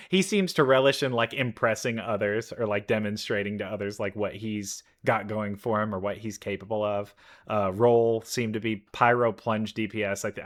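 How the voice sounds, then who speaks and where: Lower-voiced man reacting casually. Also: fairly bright tone. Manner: enthusiastic, halting, thinking aloud. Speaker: reaction video host, gamer.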